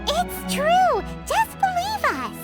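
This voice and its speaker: Squeaky, very high childlike game companion. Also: female voice, slow, music in the background, exaggerated voice. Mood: excited, urging. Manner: excitable, blunt, exclaiming. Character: small, cheerful, childlike floating female companion. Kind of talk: anime game voice acting.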